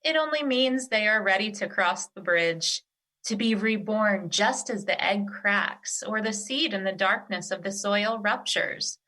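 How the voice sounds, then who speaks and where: A wise earth mother in an audio drama, played by a woman. She has a bright, clear-cut voice of middle pitch and speaks formally, with calm authority and reassurance. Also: a little slow, poor audio. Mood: reassuring, wise. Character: wise, nurturing female earth spirit, calmly authoritative. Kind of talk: theatrical audio drama acting.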